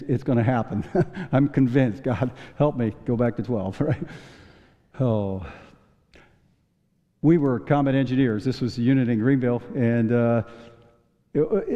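Man preaching with a dark, mellow voice. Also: mid-range voice. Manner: folksy storytelling with restarts and chuckles. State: losing his voice. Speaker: pastor. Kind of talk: sermon. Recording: dull long church reverb.

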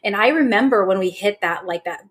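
A woman's voice: medium pitch, brisk. Co-host: woman, eager and rambling, casual podcast chat. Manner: enthusiastic, rambling, lots of "like".

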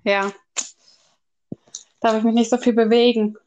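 A woman's voice: a little high, level tone, measured pace, darkish tone, dull audio, a little hiss or hum. Young woman chatting, a little hesitant. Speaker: podcast co-host. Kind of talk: casual unscripted chat.

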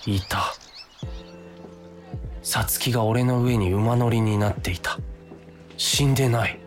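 A man's voice: mid-range voice, a little slow, faint music behind, lightly acted. A man playing an earnest, flustered office worker who sees himself as a hero, acting and narrating a radio drama. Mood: groggy, puzzled. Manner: dramatic inner monologue, earnest.

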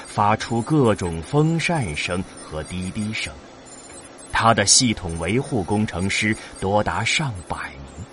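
A man narrating a science-fiction audio drama in a measured, scripted storytelling delivery. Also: fairly low pitch, a little hiss or hum. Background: music.

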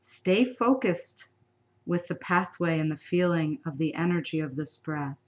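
A woman guides a sleep meditation in a lowish, gentle voice. She speaks very slowly and softly, with long pauses between soothing instructions. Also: expressive.

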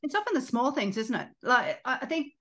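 A woman hosting a podcast in a conversational interview style, with halting, self-interrupting phrasing. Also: sits high, very animated, bright, forceful tone. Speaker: podcast host.

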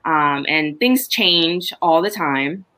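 Middle-aged woman from honduras, chatty farm stories, bright voice pitched a bit low. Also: slow pace. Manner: chatty, animated storytelling with laughs. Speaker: farmer, podcast guest. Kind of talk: casual chat.

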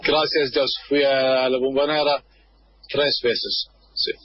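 A man from Kuwait, a guest on a radio interview, speaking hesitantly with many pauses while he searches for words. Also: mid-range voice, flat delivery, husky, no echo, dull audio. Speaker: guest, football fan. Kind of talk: radio interview over a line.

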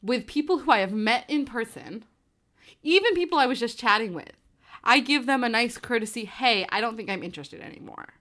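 A woman's voice: on the high side, expressive, brisk, sharp tone. A woman in her twenties from New Jersey, co-hosting a casual chat podcast in a frank, easygoing way. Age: 20s. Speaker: podcast co-host.

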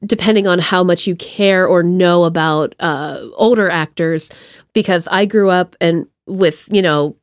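A woman hosting a podcast, chatting and asking questions in an informal, slangy, expressive way. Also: on the low side, unhurried, dry recording, studio quality. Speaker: podcast host. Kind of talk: casual chat, interviewing.

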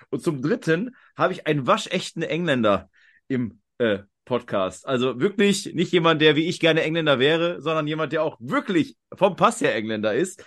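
A man's voice: on the high side, fairly bright tone. A man hosting a quiz podcast in a casual, talkative and rambling way. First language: German.

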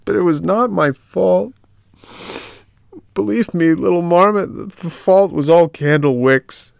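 A man doing a high, wailing puppet boy from a storybook, frightened and tearful. His voice climbs far up and gets loud, with a gravelly edge. It is recorded close and dry. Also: measured pace, no echo. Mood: pleading. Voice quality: high whining voice, sobbing.

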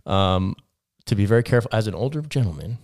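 A man's voice: on the low side, animated, quick pace, darkish tone, dry recording, clean studio sound. A man in his forties chatting casually on a podcast, blunt and joking, with a loose swearing manner. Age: 40s. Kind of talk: casual chat.

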